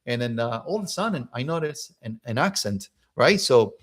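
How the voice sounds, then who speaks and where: Energetic Cuban-accented man telling a story. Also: medium pitch, normal speed, slightly rough. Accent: Cuban Spanish. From Cuba. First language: Spanish. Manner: energetic, animated, repeats words and restarts. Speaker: motivational speaker. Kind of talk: personal storytelling to camera.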